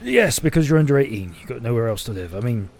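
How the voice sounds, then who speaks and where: A well-meaning council housing officer in a naturalistic audio play. He is fussy and a little muddled, with a mid-pitched voice, hesitating and trailing off through official terms. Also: big pitch swings, fast talker, creaky, a little mellow. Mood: officious, distracted. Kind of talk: naturalistic audio drama acting.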